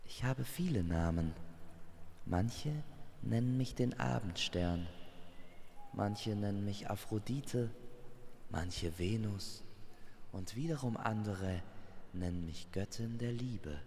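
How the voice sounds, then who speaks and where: A man's voice: on the low side, takes it slowly, creaky, pauses often, a little mellow, long reverb tail, slight background noise. A man voicing a playful yet commanding goddess of love in a fantasy audio story, with a teasing, regal manner. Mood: mysterious.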